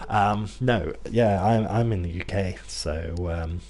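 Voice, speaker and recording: A man's voice: sits low, takes it slowly, a little mellow. A young British man from near Birmingham with a loosely mixed British accent, chatting casually to viewers while playing a game, rambling with fillers and restarts. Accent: British, mixed, not Brummie. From England, near Birmingham. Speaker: gaming streamer. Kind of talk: casual gameplay commentary to chat.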